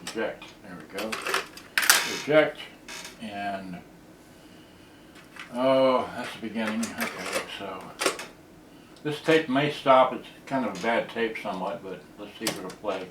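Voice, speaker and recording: Distant, roomy man over loud music. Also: lowish voice, a little slow, pauses often, very noisy. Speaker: video creator demonstrating a cassette radio. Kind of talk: casual unscripted product demo. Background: loud music from cassette radio.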